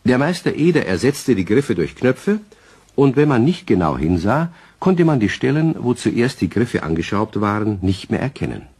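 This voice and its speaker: A man's voice: medium pitch, big pitch swings, normal speed, darkish tone, breathy edge. A man narrating a children's audio drama in scripted storytelling style.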